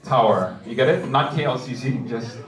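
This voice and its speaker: A man's voice: mid-range voice, animated, moderate pace. A man speaking casually as an emcee, introducing an act to a live audience. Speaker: master of ceremonies. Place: large indoor room with audience. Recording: echoey hall, far mic, loud crowd.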